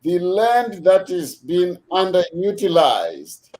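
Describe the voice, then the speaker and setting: A man hosting a video call discussion, measured and unhurried, with many fillers as he thanks his guests. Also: sits high, big pitch swings, a little mellow. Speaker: host.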